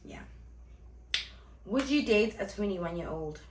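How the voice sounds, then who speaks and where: A woman's voice: mid-range voice, lively intonation, takes it slowly, echoey room, noisy background. A woman in her twenties answering viewer questions in a casual vlog, chatty, blunt and playful, often addressing her viewers as guys. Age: 20s. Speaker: vlogger and club host.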